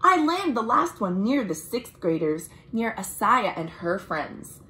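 A young American woman reads a picture book aloud to children, expressive and deliberate, with friendly asides like a storytime librarian. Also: medium pitch. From United States. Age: young adult. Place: small room at home.

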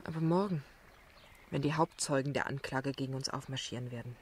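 A woman's voice: extremely low pitch, fairly quick, slight background noise. An actress playing a young, determined and shrewd woman barrister in a German radio drama, with a pointed, probing way of speaking. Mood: intimate, thoughtful.

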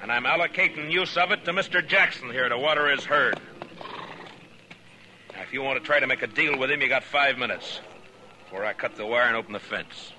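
An actor playing a firm, dry Old West marshal in a 1950s radio western, speaking with deliberate authority. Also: male voice, medium pitch, sharp tone, rough recording. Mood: stern, commanding. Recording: hissy 1950s radio broadcast.

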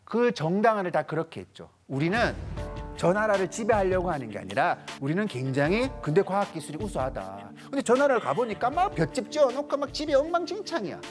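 Male lecturer, 30s, expressive, swinging delivery, acts out quotes, stage mic, faint music underneath. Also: sits high, fairly quick. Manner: animated, acts out little quoted voices. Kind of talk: lively lecture to a studio audience. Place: large TV studio stage with audience.

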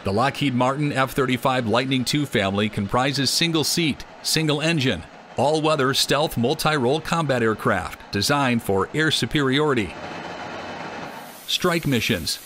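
A man giving scripted documentary narration in an even, measured delivery. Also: mid-range voice, a little hiss or hum. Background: music.

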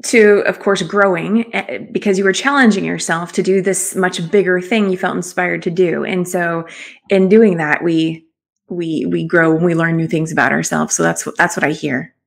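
A woman's voice: lowish voice, steady tone, moderate pace, vocal fry. Affirming woman hosting an interview. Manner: affirming, reflects back what the guest said. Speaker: show host and confidence coach. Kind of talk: conversational interview. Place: small room at home, indoors. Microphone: video call.

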